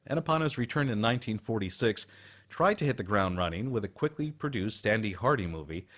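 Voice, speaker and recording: A man's voice: lowish voice, expressive, brisk, fairly bright tone. A man hosting a radio program with scripted documentary narration.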